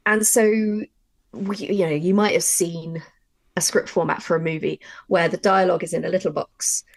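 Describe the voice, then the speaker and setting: A woman's voice: fairly low pitch, steady tone, moderate pace, no echo. A woman co-presenting a workshop, explaining things in a casual, chatty way and thinking aloud with asides. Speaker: workshop co-presenter and audio drama writer.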